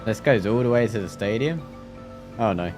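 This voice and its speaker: A man's voice: fairly low pitch, animated, moderate pace, over music. A man live streaming a game, playfully exclaiming and reading dialogue aloud.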